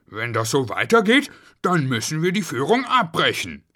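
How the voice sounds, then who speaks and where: A man's voice: medium pitch, wildly swooping pitch, a little slow, bright, forceful tone, full character voice. A man voicing a harried, strict working father who is easily exasperated in a children's story. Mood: scolding.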